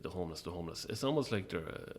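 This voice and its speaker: A very low-voiced Irish man with a creaky, gravelly edge gives a relaxed interview. He speaks hesitantly, with dry, self-deprecating humour. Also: very fast. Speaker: playwright interviewed as guest. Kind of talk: casual interview chat.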